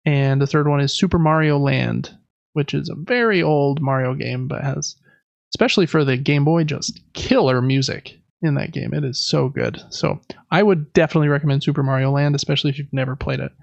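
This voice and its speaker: A man's voice: on the high side, steady tone, brisk, gravelly, no room sound, dull audio. A man hosting a casual gaming podcast, reading through news lists in a chatty, informal way with personal asides. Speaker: podcast host.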